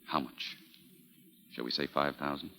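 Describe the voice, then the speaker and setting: An actor playing a suave gentleman of fifty, formal and polite, in 1940s radio drama style. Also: a bass voice, fairly even intonation, a little slow, creaky, exaggerated voice. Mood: reserved, polite. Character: suave, courtly fifty-year-old gentleman, an aging charmer. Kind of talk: 1940s radio acting, with first-person narration. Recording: vintage 1940s radio broadcast.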